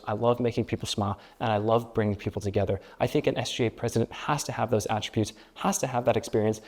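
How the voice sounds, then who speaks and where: Young man giving a campaign pitch. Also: a little mellow. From grew up in Peru and the Dominican Republic. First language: Spanish. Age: young adult. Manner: speechlike, builds rhetorical triplets and repeated phrases. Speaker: student government presidential candidate.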